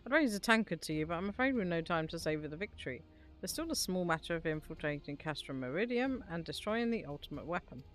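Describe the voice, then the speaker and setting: A woman's voice: fairly low pitch, expressive, fast talker, natural voice. A woman reading out a hearty, bombastic fantasy army commander in a rousing, declamatory game-dialogue delivery. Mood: triumphant. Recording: music behind the voice.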